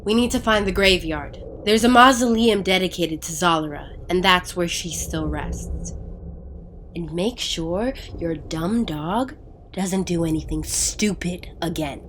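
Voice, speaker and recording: A woman's voice: lowish voice, unhurried, a bit bright, a little hiss or hum. A woman playing a hot-tempered, scornful treasure hunter in an audio drama, with a snappish, bossy delivery. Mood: curt, bossy.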